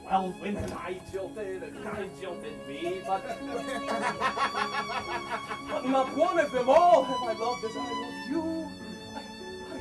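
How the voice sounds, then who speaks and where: A man acting a blustering, lovestruck landowner in a comic stage play, stammering and halting, then bursting into shouts. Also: sits high. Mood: lovesick, rambling. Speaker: student stage actor. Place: theatre stage, recorded from the audience. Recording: distant stage microphone, music behind.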